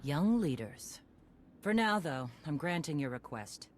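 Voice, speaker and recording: A woman's voice: sits low, sing-song, unhurried, a little hiss or hum. An actress playing a tough veteran female warrior clan leader, blunt and commanding. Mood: gruff.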